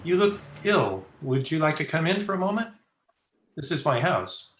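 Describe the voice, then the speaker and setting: A man playing a kindly, earnest young gentleman in an old-time radio drama. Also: on the high side, very animated, moderate pace, slight background noise. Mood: concerned, insistent. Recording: roomy home video-call audio.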